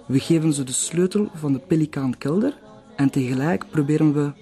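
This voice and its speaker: Wary mellow-voiced conspirator played by a man. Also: fairly high pitch, a little fast, soft background music, natural voice. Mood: worried, scheming. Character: wary Spanish male conspirator, quick to curse, scheming. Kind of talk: Flemish broadcast crime drama acting.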